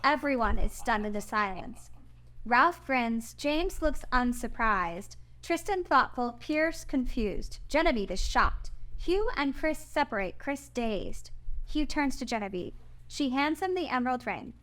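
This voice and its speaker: A woman's voice: sits high, animated, unhurried, fairly bright tone. A woman narrating a screenplay's stage directions in a scripted table read.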